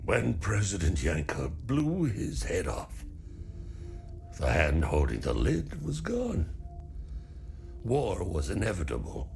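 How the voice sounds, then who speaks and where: An actor playing a weary, stoic older farmer in a video game, grieving and embittered by war, speaking in a measured way. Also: male voice, sits low, wildly swooping pitch, gravelly, a little mellow, soft background music, exaggerated voice. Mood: bitter, grim. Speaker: non-player character. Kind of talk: video game dialogue acting.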